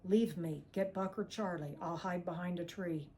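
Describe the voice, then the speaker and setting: A woman's voice: on the low side, steady tone, normal speed, a little mellow, a little hiss or hum, lightly acted. A woman voicing a wounded, pleading young man. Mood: urgent. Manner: urgent, pleading, breathless.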